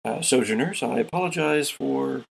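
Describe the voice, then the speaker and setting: A man hosting and narrating a tabletop role-playing game as game master, with theatrical, deliberate pauses. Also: mid-range voice, vocal fry, breathy edge.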